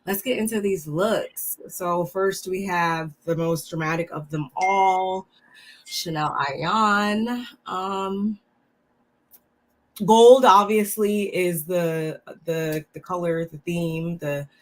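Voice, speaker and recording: A woman co-hosting a reality TV recap. She is a little under the weather and talks in a relaxed, halting way with many fillers. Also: fairly low pitch, rough recording. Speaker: co-host of a reality TV recap show. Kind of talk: casual chat reviewing looks.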